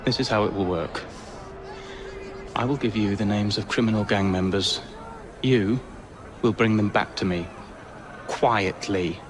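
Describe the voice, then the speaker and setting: Victorian English policeman for a game played by a man: gruff, clipped, low-pitched, noisy setting. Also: measured pace, pauses often, lightly acted. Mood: brisk, secretive. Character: gruff, secretive male Victorian police sergeant. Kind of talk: video game cutscene acting. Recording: noisy outdoor ambience behind.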